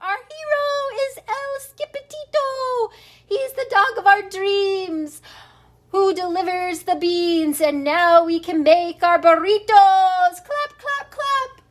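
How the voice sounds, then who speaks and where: Woman as high singsong excitable Chihuahua dogs. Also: takes it slowly. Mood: celebrating. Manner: singsong, exclamatory mock-Spanish chanting.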